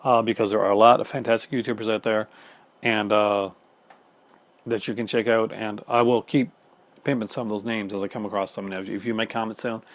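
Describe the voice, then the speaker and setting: A Canadian man talking fast and casually to the camera at home, with many fillers and restarts. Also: on the low side, little pitch movement. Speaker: film collector YouTuber. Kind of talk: casual chat reading viewer comments. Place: home room in front of film shelves.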